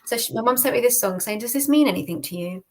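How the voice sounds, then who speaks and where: A woman's voice: fairly high pitch, expressive, fast, a little mellow. A woman from the UK with a British accent, sharing personal testimony as a podcast guest in informal, conversational speech peppered with "like".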